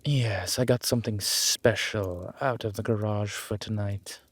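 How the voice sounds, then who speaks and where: A man playing an urbane, centuries-old vampire in an audio roleplay, with formal, measured phrasing and dry condescension. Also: on the low side, no room sound. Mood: smug, fond. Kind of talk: scripted audio roleplay drama.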